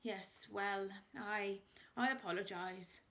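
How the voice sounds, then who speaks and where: A woman's voice: mid-range voice, slow pace, pushed and bright. A woman voicing a plump, flustered aristocratic father who stammers and tries to sound dignified. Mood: sheepish.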